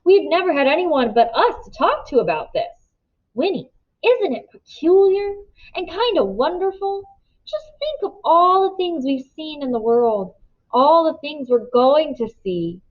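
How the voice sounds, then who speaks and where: A woman's voice: sits high, big pitch swings, measured pace, highs cut off, pitched above the performer's own voice. A woman voicing an eager, carefree teenage boy in a storybook read-aloud, exclaiming in a folksy way. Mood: excited.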